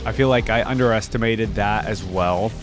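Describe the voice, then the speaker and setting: A man's voice: lowish voice, normal speed, over music. Casual male reaction host thinking aloud. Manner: conversational, thinking aloud, hedging his opinions.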